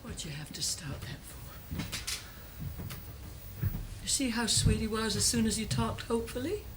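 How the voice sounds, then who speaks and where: An actress playing a devoted older wife and mother, gently and anxiously coaxing, in a stage drama style. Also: a little high, fairly even intonation, fairly quick, lightly acted. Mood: pleading. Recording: clearly noisy recording with clicks.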